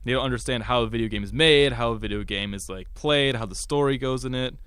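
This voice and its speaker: A man's voice: mid-range voice, fast, fairly bright tone, smooth, no echo, clean studio sound. A young man chatting casually as a podcast co-host about pop culture. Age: young adult. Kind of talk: casual chat about games and movies. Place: college radio studio.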